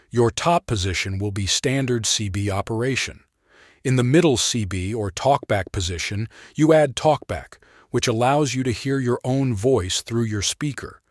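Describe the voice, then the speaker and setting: A man reads a scripted product tutorial in a clear, instructional manner, explaining each step plainly. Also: medium pitch, dry recording, clean studio sound. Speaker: product demonstrator and narrator.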